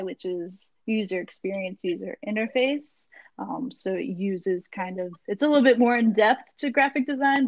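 A young woman from Florida talks casually about her background, with lots of ums and likes as she wanders between thoughts. Her voice sits at an ordinary pitch. The sound is close and dry. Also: no echo. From Jacksonville, Florida. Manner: rambling, many ums and likes. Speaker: magazine founder, guest. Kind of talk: casual interview chat.

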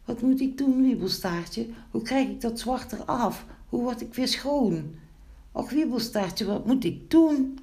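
A woman voicing a tiny, excitable male gnome in a children's story, exclaiming and pleading. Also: on the low side, unhurried, few pauses, voice pushed up. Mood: distressed pleading.

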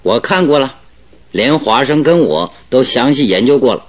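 A confident private detective acted by a man in a radio play. He lays out his logic in measured steps and rhetorical questions, with expressive rises and falls over background music. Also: mid-range voice, natural voice. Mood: firm. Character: calm, analytical male private detective, confident reasoner. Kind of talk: Mandarin radio drama acting.

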